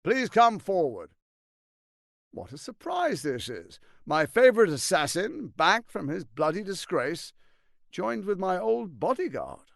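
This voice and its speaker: An actor voicing a scheming, smug tyrant lord in a video game, speaking in a pompous, silky, menacing and theatrically emphatic way with a gravelly edge. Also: male voice, high-pitched, very animated, measured pace, no room sound, clean studio sound, full character voice. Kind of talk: dramatic video game voice acting.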